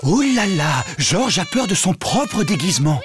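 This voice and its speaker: Unhurried man narrating a children's cartoon. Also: high voice, big pitch swings.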